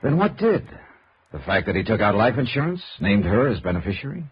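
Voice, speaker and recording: An American actor playing a cool, probing insurance investigator in a 1950s radio noir drama, with clipped, wry delivery. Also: male voice, lowish voice, sing-song, a little fast, husky, full character voice. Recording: dull, noisy old radio recording.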